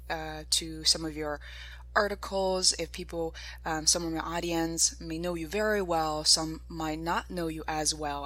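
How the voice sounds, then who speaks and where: A woman hosts a podcast interview, chatty and eager. Her voice sits low for a woman, and she laughs easily between questions. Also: fairly bright tone, no room sound. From China. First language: Chinese. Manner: enthusiastic, chatty, laughs often. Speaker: podcast host.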